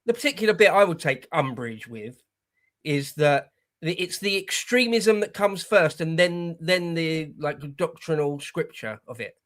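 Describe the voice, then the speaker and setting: Animated higher-pitched British man. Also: a little slow. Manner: blunt, scornful. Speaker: co-host of a political commentary show. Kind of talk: casual opinionated commentary.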